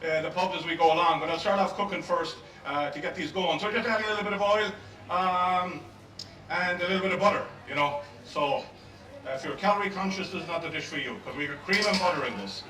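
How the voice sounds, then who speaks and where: A middle-aged Irish man, a native Gaelic speaker, demonstrating a dish live as a chef and pub owner, talking in a relaxed, rambling way with many fillers. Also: high voice, fairly quick. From Ireland. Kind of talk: live cooking demo at a festival. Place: outdoor festival stage. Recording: echoey outdoor stage mic from far off, crowd noise.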